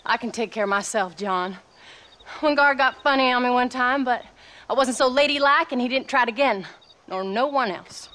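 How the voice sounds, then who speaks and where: An actress playing a blunt, long-suffering frontier wife with a rural American accent and a scolding manner. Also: female voice, a little high, moderate pace, bright, forceful tone. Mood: guarded, proud.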